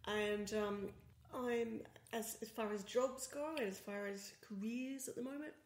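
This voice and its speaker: A woman with an Australian accent co-hosting a casual podcast, speaking quietly and hesitantly from off to the side of a shared microphone. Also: on the high side, steady tone, measured pace. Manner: soft-spoken, quiet, hesitant with ums. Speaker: co-host, tech journalist. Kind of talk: casual chat. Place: home apartment. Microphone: sharing one mic, sitting off to the side. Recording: roomy, quiet voice off-mic.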